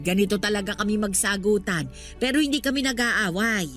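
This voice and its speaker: A woman's voice: mid-range voice, moderate pace, fairly bright tone, soft background music, close to an everyday voice. A woman in a Filipino radio drama playing a talkative, chiding but proud street vendor aged sixty. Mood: exasperated. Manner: chatty, chiding.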